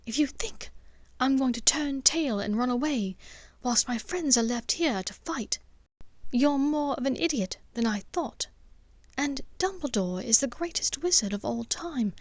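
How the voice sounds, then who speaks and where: A teenage witch from a fantasy audiobook, acted by a woman narrator. She is earnest and fiercely loyal, with an insistent, indignant delivery. The voice sits a little high and the sound is dry. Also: animated, unhurried, no room sound. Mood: defiant.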